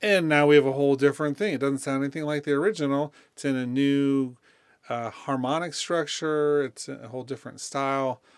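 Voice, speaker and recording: A man giving a casual, unscripted music software tutorial, thinking aloud in a conversational way as he demonstrates. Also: medium pitch, brisk, smooth.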